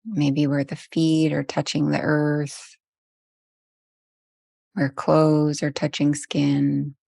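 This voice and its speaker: A woman leading a guided meditation as a teacher, speaking gently and soothingly with long, unhurried pauses. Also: deep voice, monotone, gravelly, dry recording, clean studio sound.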